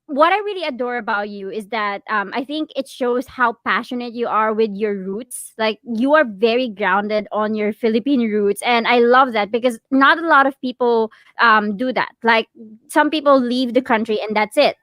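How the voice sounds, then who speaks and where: Bright-voiced chatty woman co-host, dry sound. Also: a little high, moderate pace, no room sound. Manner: friendly, chatty, laughs often, many fillers. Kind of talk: casual interview chat.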